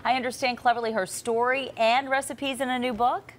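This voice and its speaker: A woman's voice: on the high side, level tone, a little fast, slight background noise. Woman news anchor in a studio.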